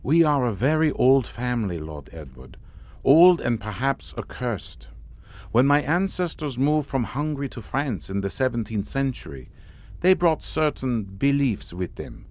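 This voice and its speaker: An actor playing a courtly, grandiose and mockingly amused Hungarian count in a suspense audio drama. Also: male voice, medium pitch, very animated, measured pace, darkish tone, no echo. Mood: grandly sinister. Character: sardonic, theatrical Hungarian count, host of a chateau.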